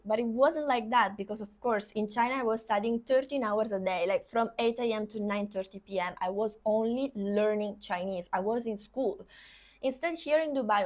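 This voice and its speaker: A young woman who is a native Italian speaker, talking casually to camera about learning languages, chatty, saying "of course" and "like" often. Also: medium pitch. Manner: quick, chatty, repeats "of course" and "like".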